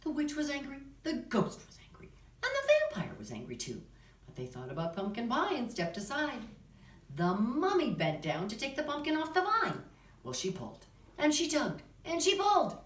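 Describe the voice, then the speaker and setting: A woman's voice: high-pitched, wildly swooping pitch, moderate pace. A woman drama teacher presenting and narrating for children's theater, in instructional talk and storytelling. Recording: echoey room, distant microphone.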